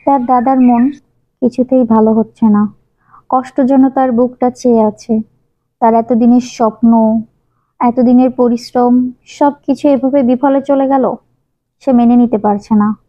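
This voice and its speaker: A woman reads a scripted children's story as narrator. Her voice is fairly high, and the recording is dull and lo-fi. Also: fairly even intonation, measured pace, pauses often, warm tone. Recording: dull, lo-fi sound.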